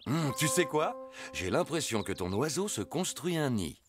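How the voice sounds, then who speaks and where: A man's voice: medium pitch, big pitch swings, moderate pace, lightly acted. A man voicing a kindly, patient papa bear in a preschool cartoon, explaining things simply. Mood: gentle, amused. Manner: gentle, explaining simply to a small child. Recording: studio voice under loud guitar music.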